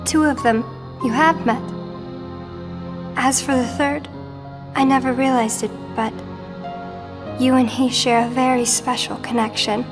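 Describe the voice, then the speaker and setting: A woman voicing a gentle, wistful teenage girl in a video game cutscene, speaking softly and earnestly. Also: high voice, fairly even intonation, measured pace, pauses often. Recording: game audio over loud music. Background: music.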